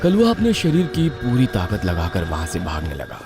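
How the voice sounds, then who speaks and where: Male horror narrator: low voice, dramatic scripted read, lively pitch movement, over music. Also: moderate pace. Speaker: storyteller.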